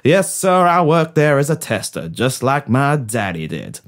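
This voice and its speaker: A man's voice: mid-range voice, sing-song, a little slow. A man voicing a polite, folksy Southern factory worker with a Southern US accent in a comedy role-play. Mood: earnest, folksy. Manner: polite, drawling folksy idioms. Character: folksy, courteous Southern toy-factory worker turned astronaut applicant.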